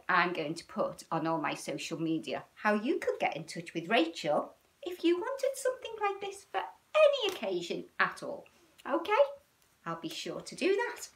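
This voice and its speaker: A British woman in her fifties hosting a children's storytime, talking in an animated, sing-song way with frequent tag questions. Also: lowish voice. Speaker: children's storytime and yoga host. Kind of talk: chatty, child-directed livestream talk. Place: small room at home, indoors.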